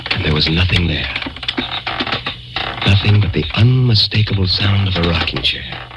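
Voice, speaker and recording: Man acting very deep-voiced haunted radio narrator. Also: lightly acted, low-quality recording. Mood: eerie suspense. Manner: brooding, deliberate, formal storytelling. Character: haunted, guilt-ridden male narrator of a suspense tale. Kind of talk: vintage radio suspense drama narration. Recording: old radio recording with music.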